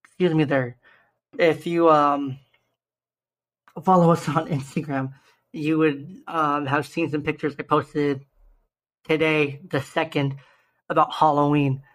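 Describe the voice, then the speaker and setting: A young man with a slightly raspy, hoarse voice, earnestly hosting a solo podcast and slowing down to stress key numbers. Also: a little high, flat delivery, a bit bright, low-quality recording. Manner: earnest, stresses numbers slowly, self-interrupting restarts. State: hoarse from shouting the day before. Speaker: podcast host.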